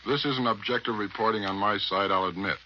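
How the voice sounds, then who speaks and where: A man's voice: on the low side, brisk. An American man reporting and interviewing on 1940s radio, reading his script in a wry, emphatic way. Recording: muffled 1940s radio, faint noise.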